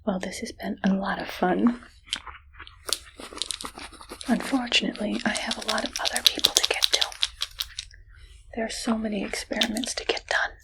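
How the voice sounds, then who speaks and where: A woman playing a doting but sinister female scientist in a whispered ASMR roleplay, speaking gently and affectionately. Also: mid-range voice, fairly even intonation, vocal fry, natural voice, poor audio. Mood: doting, softly sinister. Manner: soft, gentle, affectionate, unhurried. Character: sweetly sinister evil scientist woman, doting on her captive.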